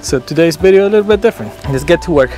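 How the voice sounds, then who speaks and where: Contractor, 30s, slightly high voice, lively and informal, recorded outdoors. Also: male voice, rapid-fire, soft background music. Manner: energetic, informal, demonstrating with tools. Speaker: roofing company owner. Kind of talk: on-site vlog walkthrough. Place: outdoors on a residential rooftop. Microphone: lapel.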